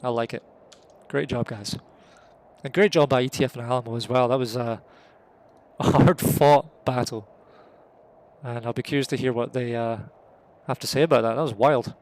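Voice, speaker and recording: A Scottish man giving live, casual, excitable commentary on a competitive flight game match, voice jumping up at the big moments. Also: mid-range voice, moderate pace, long pauses. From Scotland. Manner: excitable, rises sharply at kills; casual and jokey.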